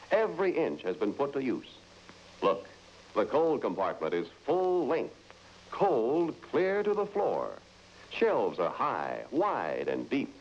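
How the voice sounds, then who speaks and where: Very animated male vintage pitchman, muffled recording. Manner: emphatic, selling delivery. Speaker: commercial announcer. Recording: muffled, noisy old broadcast recording.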